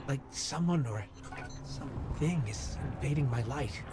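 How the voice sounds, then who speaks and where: Animated, anxious little robot companion, man acting. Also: mid-range voice, unhurried, background noise, natural voice. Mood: wary, uneasy. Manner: expressive, urgent, inquisitive. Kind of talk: dramatic video game voice acting.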